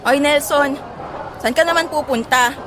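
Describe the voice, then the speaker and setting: A woman's voice: high-pitched, normal speed, very noisy, natural voice. A woman playing an exasperated, struggling mother and nagging wife in a Filipino audio drama, scolding and sarcastic.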